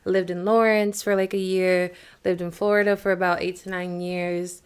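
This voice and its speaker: A chatty young woman from Boston, a singer, answers interview questions with rambling asides. Her voice is smooth and resonant. She often says "like" as she goes. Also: lowish voice, little pitch movement, moderate pace. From Boston, USA. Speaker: guest singer. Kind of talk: casual interview.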